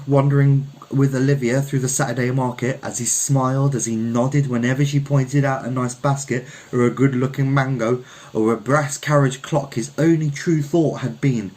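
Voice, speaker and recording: Male story reader from Birmingham, mid-pitched, measured, reflective literary narration. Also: darkish tone, rough recording. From Birmingham, England.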